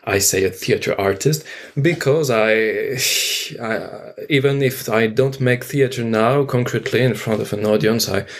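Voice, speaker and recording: A man with a French accent speaking English as a podcast guest, halting and thoughtful in casual conversation. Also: medium pitch. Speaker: guest, theater artist.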